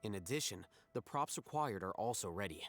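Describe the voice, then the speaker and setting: A man playing a calm, unsentimental strategist in a video game, matter-of-fact and deadpan. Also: lowish voice, brisk, natural voice. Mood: businesslike. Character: calm, dry, unsentimental young male strategist. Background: game music.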